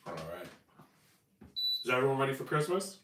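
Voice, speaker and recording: Raspy man hosting a live cooking stream. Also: mid-range voice, sing-song, normal speed. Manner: upbeat, chatty, explains steps as he cooks. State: raspy, voice cracking after flu. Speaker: home cooking channel host. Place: home kitchen. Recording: echoey room, distant mic, faint noise. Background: kitchen clatter, hot plate.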